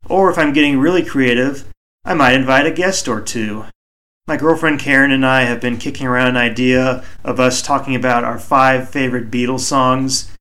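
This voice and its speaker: A man hosting a solo hobby podcast, speaking in a measured, reflective way with a soothing voice, recorded on a home microphone. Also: medium pitch, pushed and bright. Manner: measured, reflective, deliberately slow. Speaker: podcast host.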